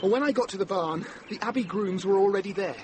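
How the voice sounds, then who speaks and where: Actor as an eager, high-voiced young man. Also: fast talker, close to an everyday voice. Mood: breathless urgency. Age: young adult. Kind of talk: radio drama acting. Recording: noisy vintage radio drama recording.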